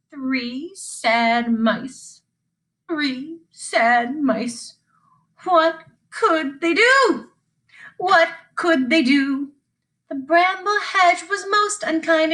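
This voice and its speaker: Woman, children's storyteller. High, slow, sing-song delivery with deliberate repetition. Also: bright, forceful tone. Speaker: teacher reading aloud. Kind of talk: sing-song children's read-along narration.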